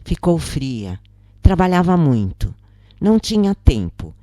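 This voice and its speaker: Low, slow, expressive woman narrator. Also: no room sound, clean studio sound. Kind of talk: scripted, dramatic first-person story narration.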